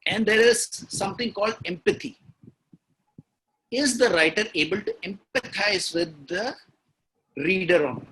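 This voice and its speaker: A man's voice: sits high, measured pace, pauses often, a bit bright, slightly rough, low-quality recording. A man with an Indian accent, a guest in an interview, talking emphatically and laying out his points one by one, often repeating key words. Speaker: guest writer. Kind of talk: interview talk on storytelling.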